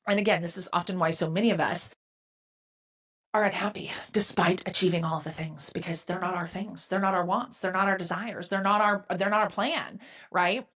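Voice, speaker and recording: A woman's voice: lowish voice, fairly even intonation, moderate pace, fairly bright tone, husky. A woman in her forties hosting a solo motivational podcast, candid and emphatic, often asking "right?" and swearing casually. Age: 40s. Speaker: podcast host and business mentor.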